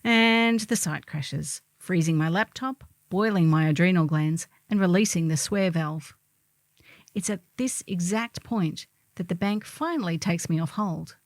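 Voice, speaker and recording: A woman's voice: on the low side, measured pace, no echo, studio quality. A middle-aged woman reading a humorous first-person column in a wry, theatrical storytelling manner.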